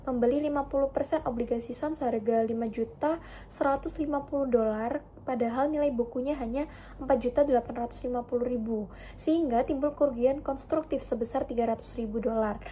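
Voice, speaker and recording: A young woman with a high-pitched but mellow voice. She explains academic material as a student presenter, slightly informal and prone to correcting herself. Faint noise sits in the background. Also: fairly quick. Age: young adult.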